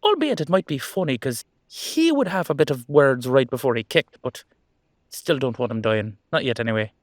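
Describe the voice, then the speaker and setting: A man's voice: medium pitch, expressive, normal speed, no echo, clean studio sound, lightly acted. Man playing a cheeky, easygoing immortal horseman. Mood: playful, casual. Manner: casual, rambling, reassuring. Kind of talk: roleplay audio drama.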